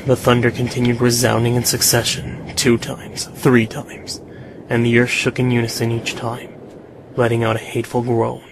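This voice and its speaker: A man playing a solemn, brooding narrator in a dramatic visual novel fandub, deliberate in delivery. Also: mid-range voice, monotone, background noise, lightly acted. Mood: solemn foreboding.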